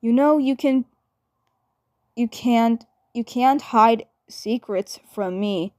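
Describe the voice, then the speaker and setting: Woman playing teenage boy, teasing, laid-back, slow halting delivery, repeats himself, fan-dub cartoon. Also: a little high, dark tone. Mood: coaxing. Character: teasing, laid-back teenage brother, suspicious of his sister.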